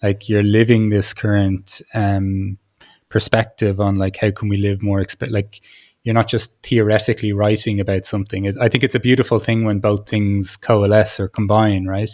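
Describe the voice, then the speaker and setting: Low, even-toned man interviewing tentatively. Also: moderate pace. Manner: tentative, circling questions with many restarts. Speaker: podcast host, interviewer. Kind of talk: conversational long-form interviewing.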